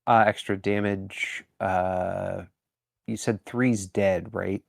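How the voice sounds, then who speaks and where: A man's voice: low voice, fairly even intonation, slow, vocal fry, a crowd in the background. A man playing a tabletop game, talking casually in a wry manner.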